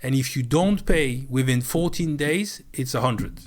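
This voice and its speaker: A man telling a story on a live stream, animated and swearing freely as he acts out a heated argument. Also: medium pitch, a little slow.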